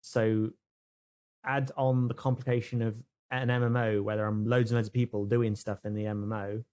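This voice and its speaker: A man chatting casually on a podcast, halting and repeating words as he explains things. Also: fairly low pitch, level tone, darkish tone. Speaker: podcast co-host. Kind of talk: casual chat.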